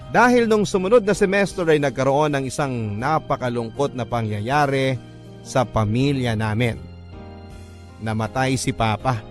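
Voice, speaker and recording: A Filipino man hosts a radio story program, reading a letter as dramatic narration. His voice sits at an average male pitch, with light music behind it.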